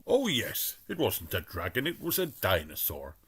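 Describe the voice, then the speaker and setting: A man doing a gentle pig dad. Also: on the low side, huge pitch swings, normal speed. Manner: gentle, storytelling. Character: good-natured pig father telling bedtime story. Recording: music playing behind.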